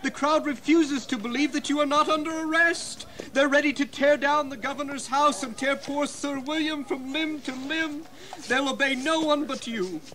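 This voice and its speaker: A man's voice: very high-pitched, moderate pace, pushed and bright. An actor playing an anxious, pleading colonial assemblyman in a historical audio drama. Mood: alarmed, pleading.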